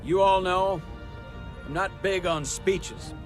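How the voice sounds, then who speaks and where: An actor playing a stern, proud starship captain in a video game, formal and commanding in manner. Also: male voice, high-pitched, slow, music in the background. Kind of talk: dramatic video game acting.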